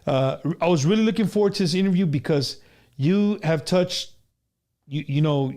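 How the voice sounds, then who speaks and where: A man's voice: on the high side, moderate pace, dark tone. A man hosting a casual livestream music interview, loose and slangy, laughing easily and calling his guest bro and dude. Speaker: host of an online music interview show.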